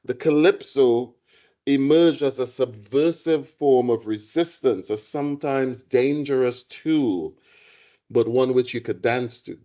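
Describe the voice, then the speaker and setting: A man delivers a public lecture in a mellow, unhurried voice. He speaks reflectively, circling back on phrases as he thinks. The recording sounds muffled and lo-fi. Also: medium pitch. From Trinidad. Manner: reflective, halting with restarts and fillers. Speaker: guest lecturer, poet.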